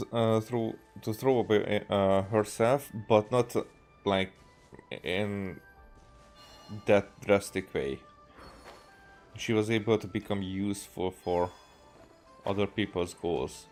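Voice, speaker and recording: Male game streamer, lowish voice, halting and rambling delivery, faint music behind. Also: a little mellow. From Hungary. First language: Hungarian. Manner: halting, thinking aloud, repeats himself. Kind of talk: rambling gameplay commentary.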